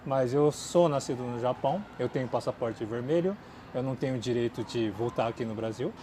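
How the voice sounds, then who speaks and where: A Japanese-born man speaking as a second language, casually answering viewer questions with lots of fillers, swinging from lively to quiet mumbling. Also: mid-range voice, fairly quick, background noise. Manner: chatty, many fillers, drifts between lively and mumbling. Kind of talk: casual chat. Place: outdoors in a city.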